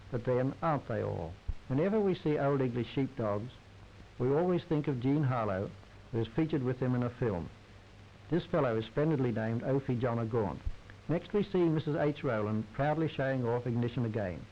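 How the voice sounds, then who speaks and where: A man narrating a 1930s society newsreel in a formal, wry and droll scripted manner. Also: mid-range voice. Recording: hissy, muffled 1930s film soundtrack.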